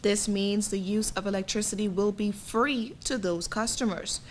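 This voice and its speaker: A female reporter narrates a scripted news story. Her pitch is average for a woman, and a faint noise sits behind the voice. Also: steady tone, moderate pace.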